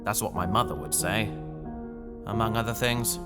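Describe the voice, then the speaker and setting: A man playing an anguished young fugitive recording a journal in a sci-fi audio drama, brooding and halting. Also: lowish voice, natural voice. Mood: bleak, resigned. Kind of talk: science fiction audio drama monologue. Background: music.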